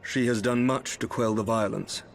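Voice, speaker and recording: An actor playing a grave, diplomatic elven lord in a fantasy video game, speaking in a formal, considered way. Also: male voice, fairly low pitch, steady tone, normal speed, gravelly, faint music behind. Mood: measured, approving.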